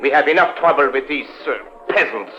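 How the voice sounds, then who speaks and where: An actor playing a stern, arrogant police colonel with a German accent, clipped and formal, in a 1950s radio drama. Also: male voice, medium pitch, a little fast, natural voice. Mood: stern, haughty. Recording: muffled old radio recording.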